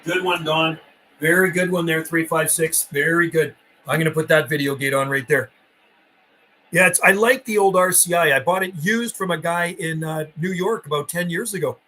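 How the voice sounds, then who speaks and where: A man's voice: on the high side, normal speed, sharp tone. A man hosting a CB radio hobby livestream, chatting casually in a rambling, folksy way and making radio calls.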